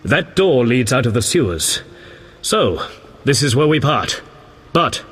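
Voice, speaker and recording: An actor playing an earnest, pious knight in a video game, speaking in a formal, courteous and solemn manner. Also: male voice, mid-range voice, big pitch swings, takes it slowly, a bit bright, husky, exaggerated voice. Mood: polite, alert. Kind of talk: video game character acting. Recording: long echoing reverb.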